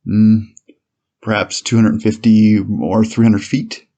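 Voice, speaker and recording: Guy acting as old-time cop on the witness stand, lowish voice, careful n formal, bit hesitant, courtroom reenactment. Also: little pitch movement, mellow, muffled recording. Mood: measured, formal. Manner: measured, hesitant, formal answers. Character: 1890s male police officer testifying, measured, deferential witness. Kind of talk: courtroom transcript dramatized reading.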